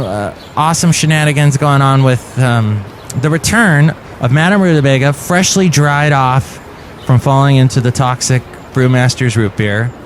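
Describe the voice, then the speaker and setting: A man hosting a comedy podcast, rambling jokily with deadpan asides. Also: a little high, a little hiss or hum. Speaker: podcast host.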